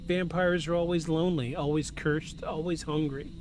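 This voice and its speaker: A man's voice: fairly high pitch, fairly even intonation, normal speed, background noise. A man hosting a live podcast from home, effusive and given to repeating himself for emphasis, moving between scripted narration and casual asides. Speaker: podcast host. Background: music.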